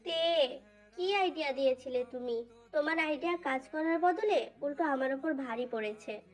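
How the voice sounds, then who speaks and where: A woman's voice: high voice, moderate pace, natural voice. A woman voicing a naive, complaining young female college student in a dramatised fan fiction. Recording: faint music under voice. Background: faint background music.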